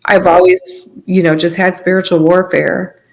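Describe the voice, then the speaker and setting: A woman with a slightly low voice talks as a podcast guest. She gives personal testimony casually, in halting phrases that trail into one another. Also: flat delivery, darkish tone, low-quality recording.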